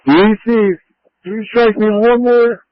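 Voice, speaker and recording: Man, very high pitch, fire commander, brisk, directive, dry muffled two-way radio. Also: steady tone, no echo. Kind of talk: fireground radio traffic. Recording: muffled lo-fi emergency scanner radio.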